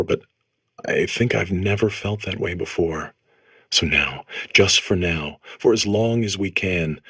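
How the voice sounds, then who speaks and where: Weary game robot, very deep, rough-edged voice, earnest monologue delivery, close dry sound. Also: male voice, a bit bright, no room sound, full character voice. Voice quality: raspy, low. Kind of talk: comedic video game character acting.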